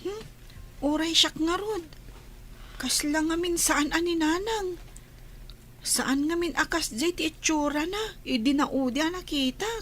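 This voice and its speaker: A woman playing a young village girl, an older sister, with a childlike, drawn-out delivery in an Ilocano radio drama. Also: extremely high pitch, fairly bright tone. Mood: puzzled.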